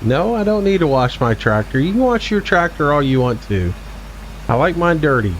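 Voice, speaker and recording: A man's voice: on the high side, big pitch swings, normal speed, slight background noise, low-quality recording. A man in his forties giving casual, laid-back gameplay commentary as a streamer, chatting back to his viewers. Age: 40s. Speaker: game streamer.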